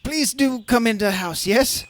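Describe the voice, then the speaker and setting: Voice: mid-range voice, moderate pace, close to an everyday voice. An actor playing a nervous, secretive older male scientist in a radio drama, hesitant and repeating words. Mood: flustered welcome. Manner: stammering, repeating words, timid.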